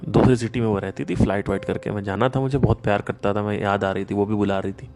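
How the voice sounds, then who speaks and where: A man hosting a podcast, giving a casual spiritual talk in an emphatic, animated storytelling manner. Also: lowish voice, fast talker. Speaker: podcast host.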